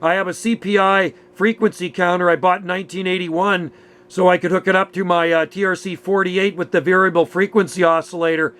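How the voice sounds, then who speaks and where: High-voiced, folksy older man chatting. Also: fairly even intonation, a little fast, fairly bright tone. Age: middle-aged or older. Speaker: radio operator. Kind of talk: casual radio chat.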